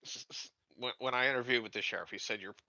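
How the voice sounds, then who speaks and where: Formal male security recruit, played by a man at a game table: bright, close and dry, deferential, measured delivery. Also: mid-range voice, dry recording, muffled recording. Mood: apologetic. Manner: measured, formal phrasing with hesitations. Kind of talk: improvised in-character roleplay.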